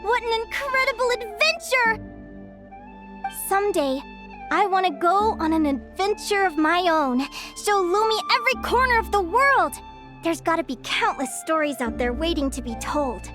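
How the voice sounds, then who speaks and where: A woman's voice: very high-pitched, lively intonation, moderate pace. A woman voicing a cheerful, curious young bird-fae naturalist in a video game, speaking earnestly and articulately. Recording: music under the voice. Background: game music.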